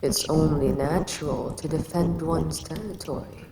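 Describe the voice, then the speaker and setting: A woman's voice: low voice, a little slow, crowd noise. A woman voicing an ancient giant female kaiju queen who speaks down to a tiny human she calls a pest. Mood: brooding. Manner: condescending, calls the listener pest. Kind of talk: audio roleplay acting. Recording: echoey reverb with ambient noise.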